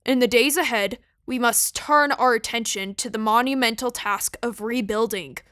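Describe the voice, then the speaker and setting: A woman playing a solemn news reporter who narrates in a grave, measured, formal style. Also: on the high side, fairly bright tone, no room sound, clean studio sound, lightly acted. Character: solemn female radio news reporter closing broadcast. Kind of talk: scripted dramatic news narration.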